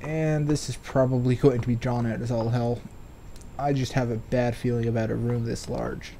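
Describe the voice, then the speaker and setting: A man's voice: mid-range voice, flat delivery, normal speed, gravelly, dark tone, slight background noise. A man hosting a let's play, with rambling, casual commentary and stretches of game text read aloud. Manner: rambling, speculative, sweary.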